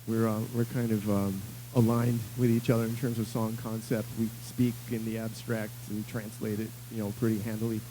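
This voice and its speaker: A man from upstate New York, a producer and musician, answering thoughtfully with many pauses and fillers. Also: fairly low pitch, level tone, warm tone, a little hiss or hum, low-quality recording. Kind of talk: casual interview.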